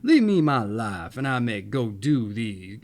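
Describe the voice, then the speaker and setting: A man's voice: mid-range voice, big pitch swings, a little slow, a little mellow, pitched below the performer's own voice. A man voicing a dignified sacred stork in solemn, old-fashioned storybook speech. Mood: earnest pleading.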